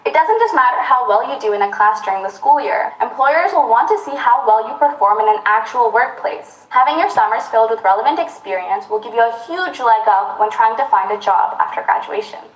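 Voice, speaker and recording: A woman's voice: on the high side, lively intonation, brisk, hardly any pauses. A young woman presenting a scripted explainer, upbeat and speaking directly to the viewer. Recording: roomy echo.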